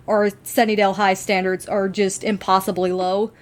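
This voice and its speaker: A woman's voice: medium pitch, flat delivery, moderate pace. A woman co-hosting a podcast, talking casually and emphatically as an enthusiastic fan. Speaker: podcast co-host.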